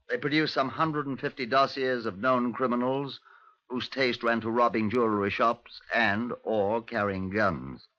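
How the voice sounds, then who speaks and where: Male actor for brisk British detective inspector, 1950s radio drama, forceful, measured report style, lo-fi sound. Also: medium pitch, no room sound, close to an everyday voice. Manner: clipped, formal, measured police-report delivery. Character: brisk, methodical male Scotland Yard inspector. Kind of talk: 1950s radio drama acting, narration and dialogue. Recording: dull, lo-fi 1950s radio recording.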